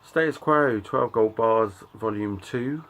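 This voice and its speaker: Low-voiced middle-aged man, slightly gravelly. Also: fairly bright tone. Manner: halting, offhand, reads out titles in short bursts. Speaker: record collector and channel host. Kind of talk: casual show-and-tell commentary.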